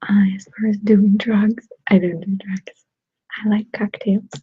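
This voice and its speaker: Woman, Russian accent, very husky whisper, slow and giggly, dull dry close-mic sound. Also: medium pitch, flat delivery, no room sound. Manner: soft whispering, giggly, answers viewer comments. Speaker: ASMR channel host. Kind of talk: whispered ASMR live chat. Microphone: desktop USB microphone.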